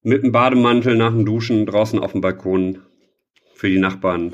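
A man's voice: lowish voice, steady tone, moderate pace. A man co-hosting a podcast, chatting casually with a rambling, self-deprecating, joking manner. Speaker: podcast co-host. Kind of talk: casual banter between friends. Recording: dull lo-fi sound.

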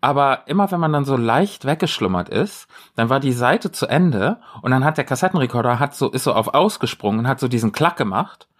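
A man's voice: mid-range voice, lively intonation, a little fast, few pauses. A man chatting casually as a podcast co-host, rambling along in a chatty way. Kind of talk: casual chat.

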